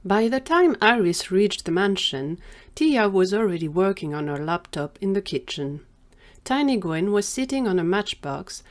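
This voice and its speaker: A woman reading scripted story narration for an audio drama. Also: lowish voice.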